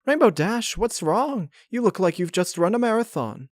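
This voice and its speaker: A man voicing a kindly, motherly female pony shopkeeper in a gentle, concerned manner. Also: high-pitched, big pitch swings, moderate pace, no room sound, clean studio sound, pitched above the performer's own voice.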